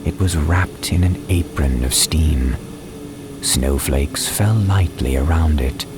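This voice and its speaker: A man reading a dramatized storybook as an audiobook narrator, with measured, deliberate phrasing. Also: rumbling low. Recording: clear ambience and train noise behind. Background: soundscape of train and ambience.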